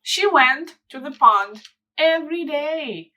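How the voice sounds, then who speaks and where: A woman narrating a children's storybook as a storyteller, with deliberate, expressive phrasing in short pieces. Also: high-pitched, a little hiss or hum.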